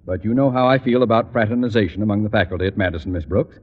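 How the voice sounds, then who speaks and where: An actor playing a gruff, pompous, dismissive middle-aged school principal in an old radio sitcom, curt and officious. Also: male voice, on the low side, very fast. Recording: muffled, noisy vintage radio with long reverb.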